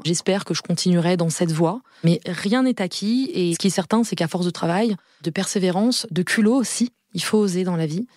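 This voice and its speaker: Frank woman, low voice, many fillers. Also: a little mellow, no echo, studio quality. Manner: earnest, frank, repeats herself and hesitates with many fillers. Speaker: lawyer and law firm partner. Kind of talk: podcast interview guest.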